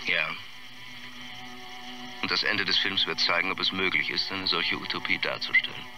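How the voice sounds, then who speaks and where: An intense, ominous film director gives an interview in a radio drama. His voice is deep and low, and the recording has clear noise behind it. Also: steady tone, moderate pace.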